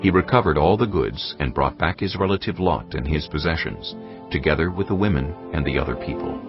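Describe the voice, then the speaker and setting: A man narrating scripture in a measured, grave storytelling manner. The audio is dull and lo-fi. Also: rumbling low, expressive. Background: music.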